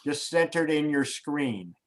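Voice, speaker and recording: A man hosting, talking casually and haltingly over a video call, coaching a guest before a show. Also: a little high, lively intonation, a little hiss or hum. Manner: hesitant, restarts, coaching tone. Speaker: host.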